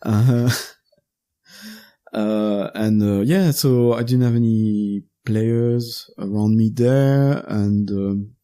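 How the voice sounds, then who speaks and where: A man in his thirties with a Belgian French accent, a native French speaker chatting in English as a podcast guest, pausing often to search for words. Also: on the low side, dark tone. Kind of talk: casual conversational interview.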